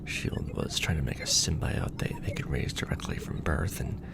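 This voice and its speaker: Weary young guy bonded to an alien male actor: very deep, animated, half-whispered with fry, casual and wry. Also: normal speed, very noisy, full character voice. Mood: troubled. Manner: casual, wry, hesitant in explanations. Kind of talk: ASMR roleplay drama.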